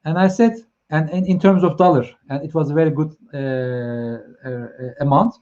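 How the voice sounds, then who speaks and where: A man's voice: a little high, moderate pace, warm tone, highs cut off. A man from Turkey with a Turkish accent, hosting a live stream talk in English, hesitant with many fillers and restarts as he encourages his viewers. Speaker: host.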